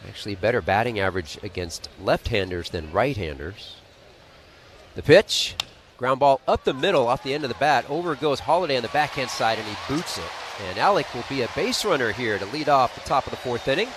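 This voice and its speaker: Animated American baseball play-by-play man. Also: medium pitch, fairly quick, noisy background. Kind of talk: live radio play-by-play commentary, conversational.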